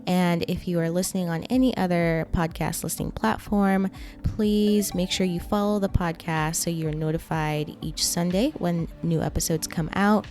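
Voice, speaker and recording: A woman with a fairly low-pitched voice hosts a podcast in a conversational style. Music plays behind her as she talks. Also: darkish tone. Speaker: podcast host.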